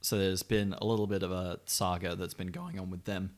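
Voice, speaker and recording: A man's voice: deep voice, steady tone, a little fast. A man co-hosting a podcast in casual chat, with a sweary, wry and joking manner. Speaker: podcast co-host.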